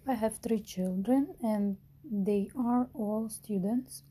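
A woman reads her self-introduction for English class slowly and carefully, listing her daily routine. Her voice is very dark and breathy. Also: mid-range voice. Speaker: English student. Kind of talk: reading a prepared self-introduction.

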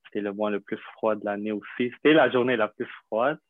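A young man from Syria, a native Arabic speaker, telling his story in an interview with many pauses, fillers and restarts. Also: on the low side, no echo. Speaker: interview guest. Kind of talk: hesitant conversational interview. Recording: dry tinny phone-like line.

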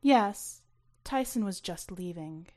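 A woman's voice: mid-range voice, expressive, slow, a little mellow. A woman voicing a guarded, unyielding mother with a terse, firm delivery in an audio story. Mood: curt, firm.

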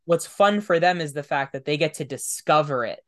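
A man's voice: fairly high pitch, fairly quick. A man hosting a podcast interview in a casual, conversational style.

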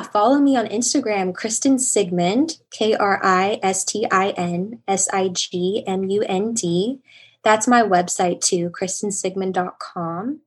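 A young woman speaking as a podcast guest with a calm, soothing voice, talking casually and reflectively. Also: mid-range voice, husky. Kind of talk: casual conversational interview.

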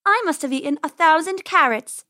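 A woman puts on a naughty little girl rabbit for a storybook. She is bossy and excitable, very high in pitch and close and dry in sound. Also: unhurried, dry recording. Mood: boastful. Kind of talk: children's storybook character voice.

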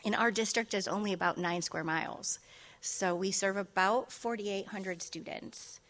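Soft-spoken woman giving a conference talk. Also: medium pitch, moderate pace. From Ohio. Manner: soft-spoken, many ums and restarts. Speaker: school district director presenting a conference session. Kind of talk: conference presentation. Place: conference room.

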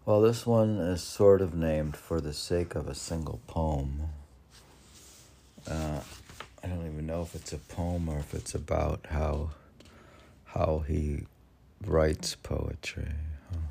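An older man reading poetry informally, with halting, musing asides in which he asks and answers himself. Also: rumbling low, steady tone, vocal fry, mellow, slight background noise. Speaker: poetry reader and podcast host.

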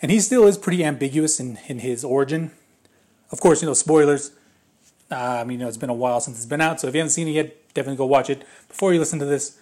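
A man with a mid-pitched voice talks through a movie review in a loose, casual way. His voice is a little raspy, and he rambles, restarting sentences and leaning on fillers as he thinks aloud. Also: quick pace, a little mellow. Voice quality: slightly raspy.

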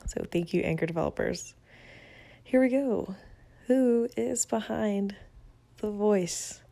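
A woman's voice: medium pitch, slow, creaky, warm tone, no room sound. A young woman from Venezuela hosting her own podcast, talking casually and upbeat with a self-deprecating streak, recorded through a phone app. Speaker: podcast host. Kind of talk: casual solo podcast monologue. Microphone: phone app, no proper mic.

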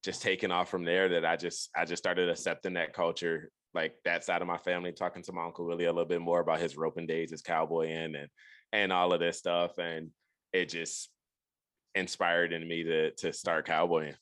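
Deep-voiced man telling a rambling story. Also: monotone, moderate pace, a bit bright, dry recording. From Detroit, Michigan. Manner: rambling, with many restarts and "like". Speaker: podcast guest. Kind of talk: casual storytelling interview.

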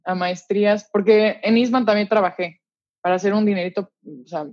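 A young woman with a Mexican accent talking casually and chattily in an interview, using relaxed slang as she shares personal stories. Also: mid-range voice, normal speed, dry recording, lo-fi sound. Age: young adult. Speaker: interview guest, orchestral musician. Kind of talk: casual conversation, personal anecdotes.

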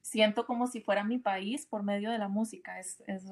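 A woman's voice: medium pitch, steady tone, normal speed. A woman from Rica, interviewing a guest on a video call. She asks musing questions and laughs easily. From Costa Rica. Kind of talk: casual video call conversation.

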